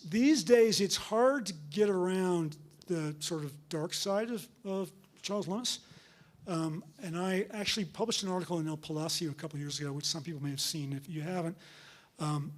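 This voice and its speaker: High-voiced man fielding lecture questions. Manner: hesitant, many fillers and restarts. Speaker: guest lecturer and author. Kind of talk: public lecture and Q&A.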